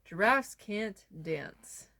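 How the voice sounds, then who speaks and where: A woman reading a storybook aloud to children in an expressive manner. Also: medium pitch, extremely slow, background noise. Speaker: read-aloud presenter for children.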